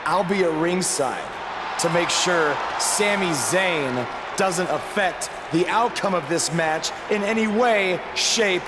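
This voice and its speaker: An actor playing a defiant young rookie wrestling champion in a video game, making emphatic declarations. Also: male voice, sits high, slow, big-room sound, a loud crowd, very noisy. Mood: fed up, defiant.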